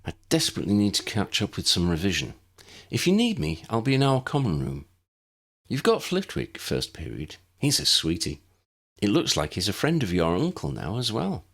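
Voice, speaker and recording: A man voicing a kindly, brisk older schoolgirl in a storybook reading. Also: on the low side, huge pitch swings, a bit bright, husky, heavily acted. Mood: friendly, brisk.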